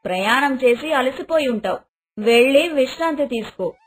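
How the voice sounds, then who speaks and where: A woman's voice: high voice, lively intonation, normal speed, slightly rough. A woman voicing a proud, disapproving, suspicious mother-in-law in a cartoon moral story. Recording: dialogue over background music.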